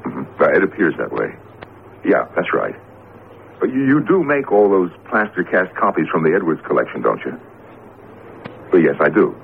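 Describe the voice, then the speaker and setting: An actor playing an anxious, excitable male professor in a 1970s radio drama, hesitant and exclaiming as he talks. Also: low-pitched, normal speed, vocal fry, pauses often, full character voice. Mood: polite, curious. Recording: noisy lo-fi radio broadcast.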